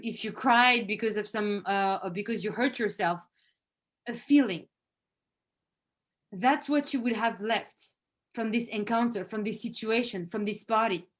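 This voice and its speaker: A woman, a head of sales, giving an earnest presentation over a video call. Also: a little high. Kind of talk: virtual presentation. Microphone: video call microphone.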